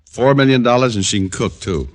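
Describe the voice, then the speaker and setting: An actor playing a cool, wisecracking private detective with flippant, dry banter in 1940s radio style. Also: male voice, mid-range voice, animated, brisk, close to an everyday voice. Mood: teasing. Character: wisecracking, cool male private detective, narrating his case.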